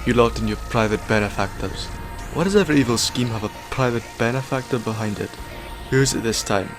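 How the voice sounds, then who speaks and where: A man's voice: medium pitch, brisk, over music, lightly acted. A man playing a defiant, sardonic time-travelling hero in an audio drama, taunting his captors. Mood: mocking.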